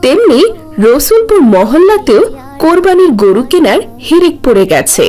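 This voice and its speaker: A woman narrates a children's cartoon story from a script. Her high voice swings in lively intonation, with faint music underneath. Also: normal speed. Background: faint background music.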